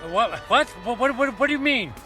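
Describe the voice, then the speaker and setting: A man's voice: very high-pitched, animated, moderate pace, sharp tone, faint music behind, full character voice. An actor playing an emotional, desperate man in a radio drama, agitated and prone to stammering. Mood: angry, accusing. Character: angry, desperate man who believed himself doomed.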